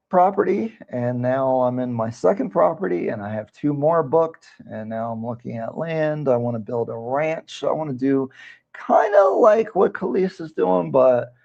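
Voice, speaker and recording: A man's voice: mid-range voice, gravelly, a little mellow, dull audio. A man hosting a casual livestream, talking in a loose, rambling way and reading viewer comments aloud. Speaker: livestream host, real estate broker.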